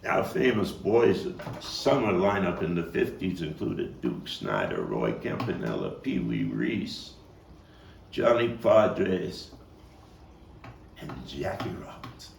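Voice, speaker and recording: A man performing a nostalgic Brooklyn man looking back and searching his soul in a stage monologue. The voice is very low and unhurried, swinging widely between hushed and emphatic, with halting repeats. It is heard from a distance in an echoey room. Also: gravelly, heavily acted. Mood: proud, reverent. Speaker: playwright and actor. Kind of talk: dramatic stage monologue at a table read. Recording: distant mic, roomy echo, faint noise.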